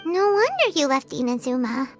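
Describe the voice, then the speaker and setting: A woman voicing a small, childlike, excitable fairy companion in a video game, with a squeaky, chirpy delivery. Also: normal speed. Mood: curious. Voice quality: squeaky, shrill. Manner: speaks of self in third person, chirpy. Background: background music.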